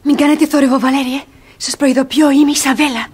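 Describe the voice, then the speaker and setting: An actress playing a pleading, scheming young ward in a classic comedy for radio theatre, speaking dramatically. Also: female voice, high-pitched, steady tone, background noise, lightly acted. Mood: urgent, hushed. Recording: husky-sounding archive radio theatre recording.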